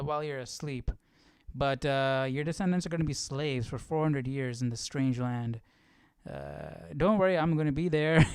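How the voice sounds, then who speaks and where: Male livestream host, smooth voice a bit high, informal and questioning, close dry sound, casual study commentary. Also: no room sound. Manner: informal, questioning, thinking aloud. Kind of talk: casual Bible study commentary, reading aloud and reflecting.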